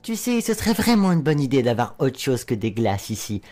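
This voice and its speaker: A woman's voice: very deep voice, big pitch swings, brisk, warm tone, faint music behind, full character voice. A woman voicing a crude, flamboyant male spider demon with a sarcastic, lewd, mocking drawl in a fan dub. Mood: sneering.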